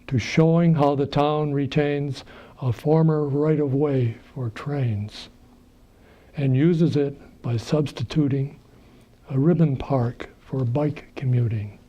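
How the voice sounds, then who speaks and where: Slow, mellow man reading verse, slightly muffled. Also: mid-range voice. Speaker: reader of poems. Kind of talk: reading rhyming verse aloud. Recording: slightly dull, muffled audio.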